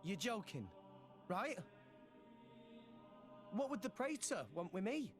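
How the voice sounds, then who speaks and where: Earnest young lad for a game hero: high-pitched, rough-edged, easily flustered, light music underneath. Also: male voice, very animated, slow pace, full character voice. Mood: surprised, flustered. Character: earnest, easily flustered teenage boy adventurer. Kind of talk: video game cutscene acting. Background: faint music.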